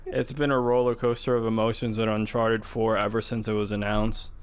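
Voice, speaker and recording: A man co-hosting a gaming podcast, chatting casually in a measured, skeptical way. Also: on the low side, little pitch movement, clear, resonant tone. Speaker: podcast co-host.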